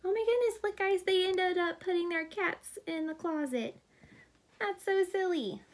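Slow, teacherly young woman reading aloud. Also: very high-pitched. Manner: warm, slow, teacherly, asks kids questions. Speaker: pediatric therapist reading to children. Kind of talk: children's story time read-aloud. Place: small living room at home.

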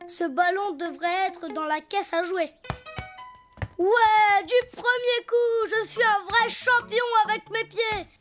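A little donkey boy in a preschool cartoon. The voice is very high and childlike, taking its time over each playful exclamation. He is cheerful and full of ideas, with music behind him. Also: slow, fairly bright tone, exaggerated voice. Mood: playful, self-amused. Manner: playful, self-narrating, exclaiming. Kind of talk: French preschool cartoon voice acting.

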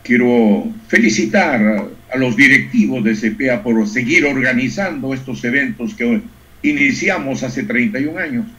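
A muffled video call recording carries an older man. His pitch is average for a man. He speaks as a director addressing a formal gathering, deliberately and in measured, oratorical phrases. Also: lively intonation, a bit bright. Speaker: education institute director giving a closing speech. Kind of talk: formal speech. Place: indoors on a group video call.

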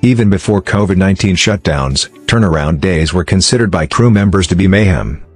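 A man reading a scripted explainer narration in a measured, formal way. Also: low-pitched, lively intonation. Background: background music.

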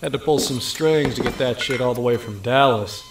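A man playing a gruff, loyal, fatherly Marine in an audio drama. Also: medium pitch, moderate pace, lightly acted. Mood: assured. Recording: echoey room, faint ambient noise.

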